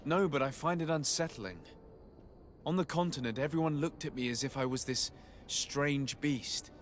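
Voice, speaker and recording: An actor playing an earnest young nobleman emissary in a video game, speaking in a measured, formal way. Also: on the high side, slight background noise, natural voice. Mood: pensive. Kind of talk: video game cutscene dialogue.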